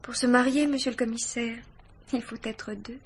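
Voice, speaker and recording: An actress playing a guarded, anxious yet self-possessed young woman in a French radio mystery play, speaking gravely and formally. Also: fairly high pitch, fairly even intonation, normal speed, slight background noise, lightly acted. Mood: anguished, reticent. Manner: grave, formal, hesitant but pointed.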